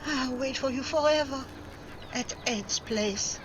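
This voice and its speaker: A woman's voice: high-pitched, slow, noisy background. A woman playing a gullible, passionate young French spy with a French accent, theatrical and pleading, in 1940s radio melodrama style.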